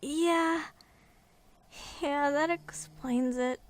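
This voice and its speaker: Woman playing a high-voiced, playful young survivor. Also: drawn-out words. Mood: drowsy. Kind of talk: fan audio drama dialogue. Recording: clear background noise, ambience.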